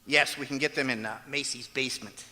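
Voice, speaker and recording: A man plays a long-suffering comedy husband with a very bright, forceful voice. He explains things drily and patiently, in old radio style, echoing through a hall. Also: mid-range voice, fairly even intonation, brisk. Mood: exasperated, humoring. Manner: dry, patient, explanatory, hesitant. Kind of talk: old-time radio comedy acting on stage. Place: large auditorium stage, live performance. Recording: echoey auditorium stage recording.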